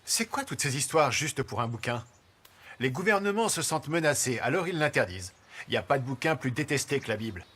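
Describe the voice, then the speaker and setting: A man's voice: medium pitch, lively intonation, fairly quick, fairly bright tone. A man giving scripted narration in a punchy, declarative way for a short video.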